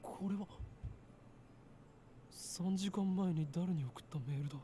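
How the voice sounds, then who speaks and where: An actor playing a dramatic, suspicious young self-styled mad scientist in a visual novel, speaking in a grave, theatrical manner. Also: male voice, high voice, brisk, dark tone, slight background noise, lightly acted. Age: young adult. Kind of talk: Japanese visual novel voice acting.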